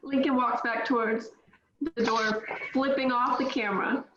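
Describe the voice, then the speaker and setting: A woman's voice: on the high side, fairly even intonation, normal speed. Woman reading stage directions, matter-of-fact, roomy echo, bit muffled and hissy. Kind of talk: table read narration. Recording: echoey, dull, faint noise.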